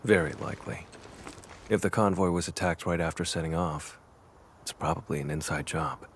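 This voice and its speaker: A man voicing a stoic, brooding vampire hunter in a video game, speaking in a terse, grave and deliberate way. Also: sits low, a little hiss or hum. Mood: watchful, analytical. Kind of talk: scripted game dialogue.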